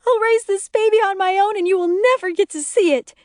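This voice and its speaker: A woman's voice: high-pitched, big pitch swings, moderate pace, a little mellow, no echo, clean studio sound, pitched above the performer's own voice. A woman voicing a hysterical, furious pregnant young wife who shrieks accusations. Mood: screaming furious.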